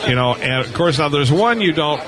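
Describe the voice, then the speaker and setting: A man's voice: on the high side, expressive, fairly quick. A man hosting a live radio show, chatting casually in a rambling, folksy, conversational way. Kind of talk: casual live interview chat about amateur radio. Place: busy hamfest exhibit hall, live booth. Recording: live from a crowded convention floor. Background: crowd noise.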